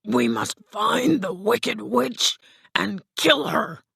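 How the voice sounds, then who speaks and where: A woman's voice: medium pitch, big pitch swings, slow, gravelly, fairly bright tone, slightly rough, dry recording, voice pushed up, full character voice. Woman voicing a timid, plaintive cowardly storybook lion. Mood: anxious resolve. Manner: fearful, plaintive. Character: cowardly male lion, timid, pleading, wants courage.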